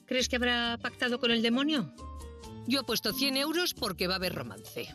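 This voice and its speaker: A gossipy, teasing woman in an audio drama. Her voice sits fairly high and moves with lots of melody, with some music behind her. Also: lively intonation, moderate pace, fairly bright tone. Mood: teasing gossip. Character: playful gossiping woman, teasing and eager to bet.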